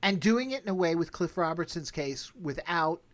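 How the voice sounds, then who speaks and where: A man's voice: a little high, lively intonation, moderate pace, a bit bright. A man hosting a podcast in a chatty, casual, self-deprecating way. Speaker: podcast host.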